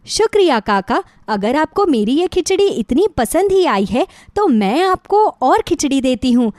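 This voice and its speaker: A woman's voice: high voice, big pitch swings, measured pace, a little mellow, dry recording, studio quality. A woman voicing a kind, cheerful young daughter who sells food, speaking politely and eagerly in a moral story.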